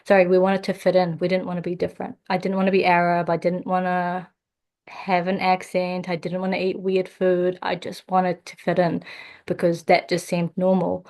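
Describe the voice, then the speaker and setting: A young woman from Jordan, speaking as a podcast guest in a thoughtful, measured way with frequent pauses. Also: lowish voice, completely flat delivery, darkish tone. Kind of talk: reflective podcast conversation.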